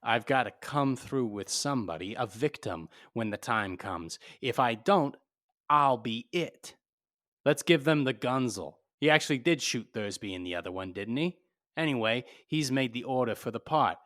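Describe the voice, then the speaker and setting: Man acting a blunt, tough private eye, medium pitch. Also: expressive, normal speed, a bit bright, lightly acted. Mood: tough, insistent. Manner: blunt, wisecracking, commanding. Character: cool, tough, shrewd male private detective. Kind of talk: 1940s-style radio play acting.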